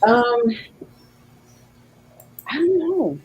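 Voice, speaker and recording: The excitable teammate on an online quiz night, a high-voiced woman swooping through casual exclamations. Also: extremely slow. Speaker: game show contestant. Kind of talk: casual chat.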